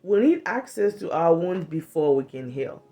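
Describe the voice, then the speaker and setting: A woman in her thirties from Cameroon, talking frankly to a phone camera in a small bedroom, animated and swearing freely as she speaks about healing from trauma. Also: on the low side, normal speed. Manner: frank and animated, swearing freely, acts out little quoted lines. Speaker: vlogger. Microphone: phone camera at arm's length.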